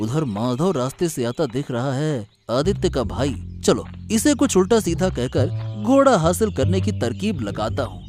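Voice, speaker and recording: A man's voice: on the high side, a little mellow. A man voicing a greedy, scheming royal courtier in a cartoon story, with an oily, insinuating manner. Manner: oily, insinuating, talks aloud to himself. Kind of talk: animated moral story acting.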